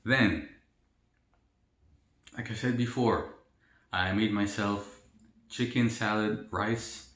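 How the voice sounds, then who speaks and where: A young man from El Salvador, a mukbang vlogger, answering viewer questions in a relaxed, rambling way with many fillers. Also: lowish voice, takes it slowly. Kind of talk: casual Q&A while eating. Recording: roomy echo.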